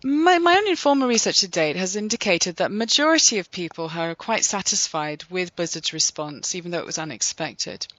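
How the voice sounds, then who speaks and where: A podcast host reading a scripted recap in a steady, measured way, then introducing guests. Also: medium pitch, no room sound, highs cut off.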